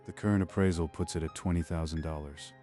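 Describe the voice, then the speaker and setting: Old piano restorer, kindly, measured, deep voice, mellow tone. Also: male voice, fairly even intonation. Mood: calm. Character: kindly old male piano workshop owner. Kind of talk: manga dub voice acting.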